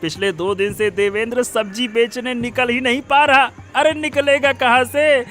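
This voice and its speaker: A man voicing a smug, scheming village villain in an animated moral story, boasting and gloating. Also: very high-pitched, moderate pace.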